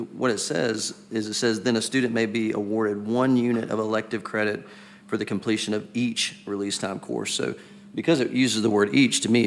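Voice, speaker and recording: A man with a raspy voice speaking as a school board member at a public meeting, questioning deliberately and circling back to restate his point. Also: fairly low pitch, a little hiss or hum. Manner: deliberate, questioning, repeats himself while building a point.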